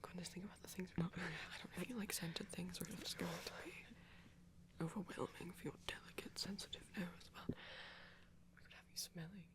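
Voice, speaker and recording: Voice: medium pitch, fairly even intonation, a little fast, natural voice. A performer voicing a flirty, tender vampire in an ASMR romance roleplay, whispery, soothing and teasing. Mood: soft, teasing. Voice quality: breathy, whispery.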